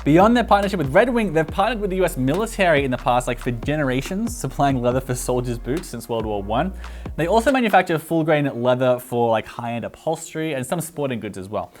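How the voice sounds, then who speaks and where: A man's voice: mid-range voice, animated, faint music behind. A man in his thirties with an Australian accent, hosting a casual explainer with lots of filler words, speaking into a lapel mic at a studio table. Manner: chatty, fast, lots of "like" fillers. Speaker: boot reviewer host. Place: bright indoor studio at a table.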